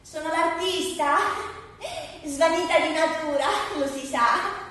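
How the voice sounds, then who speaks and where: Actress as a manic job applicant, distant and echoing. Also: female voice, high voice, fairly even intonation, slow pace, fairly bright tone. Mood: boastful, manic. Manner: theatrical, emphatic, declaiming in short slogans. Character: manic, self-selling woman job applicant, shifting between personas. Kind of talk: surreal satirical stage monologue. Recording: very echoey hall, distant mic, faint noise.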